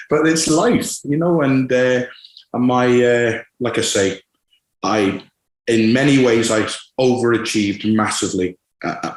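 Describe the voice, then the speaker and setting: A man's voice: on the low side, roomy sound, low-quality recording. A Scottish-born man in his forties with a West Country accent, a football manager speaking frankly and reflectively in an interview, with frequent restarts and fillers. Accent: West Country English. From Scotland. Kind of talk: candid interview.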